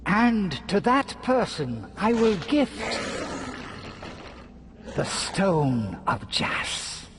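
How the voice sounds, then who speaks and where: A sly mocking game villain god, high voice, takes his time, noisy ambience behind. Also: big pitch swings, full character voice. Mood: sly, grandiose. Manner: mocking, drawn-out theatrical delivery. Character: gleeful, taunting male schemer god, theatrical and sly. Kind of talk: fantasy video game acting.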